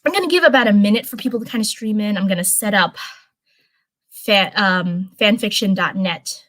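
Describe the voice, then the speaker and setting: A woman's voice: medium pitch, moderate pace, fairly bright tone. A woman with a mid-range voice hosts a live reading stream. She is informal and chatty, speaking casually as if straight to her viewers. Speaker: host of a live stream reading fan fiction. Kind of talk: casual livestream chat and live story reading.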